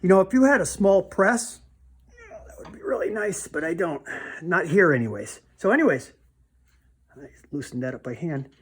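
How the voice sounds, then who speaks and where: A man's voice: sits high, expressive, moderate pace, long pauses, breathy edge. A man giving a casual, plainspoken repair demonstration, thinking aloud informally as he handles parts. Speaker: DIY car repair demonstrator.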